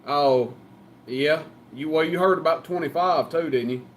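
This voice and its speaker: A man's voice: on the high side, normal speed, slight background noise. A man with a Southern US accent chatting casually as a CB radio operator, folksy and joking. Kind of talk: casual banter over CB radio.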